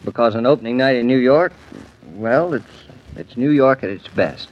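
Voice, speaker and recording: Man playing a wry affectionate young husband, medium pitch, teasing, old 1940s radio play, dull lo-fi sound. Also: normal speed, natural voice. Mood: eager, encouraging. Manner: wry, patient, lightly teasing. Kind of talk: 1940s radio drama acting.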